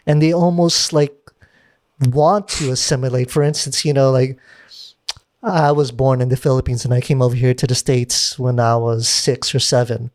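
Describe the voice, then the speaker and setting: A man in casual podcast conversation, chatty and animated, whose pitch climbs when he makes a point, with some casual swearing. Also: moderate pace, no room sound, clean studio sound.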